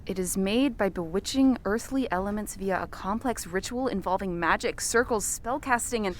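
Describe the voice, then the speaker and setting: A woman's voice: medium pitch, normal speed, slight background noise, lightly acted. A woman playing an earnest, easily outraged reporter who speaks emphatically in an audio drama. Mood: awed, curious. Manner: emphatic, righteous, wordy.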